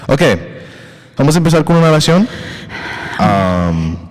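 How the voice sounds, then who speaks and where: A man's voice: a little high, big pitch swings, measured pace, darkish tone, big-room sound. A male podcast host talking casually and hesitantly, with many fillers and English words mixed in. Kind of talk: casual conversational interview.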